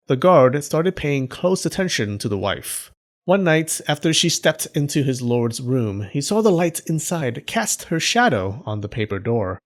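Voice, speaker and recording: A man narrating a scripted folktale in a humorous storytelling style, with deadpan joking asides. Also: mid-range voice. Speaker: storyteller, channel host.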